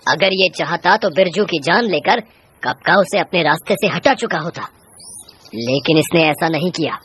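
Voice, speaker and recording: A woman's voice: low-pitched, animated, normal speed, a bit bright, a little hiss or hum. A woman voicing a shrewd, commanding male village sorcerer in a horror tale, with declamatory delivery. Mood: astonished.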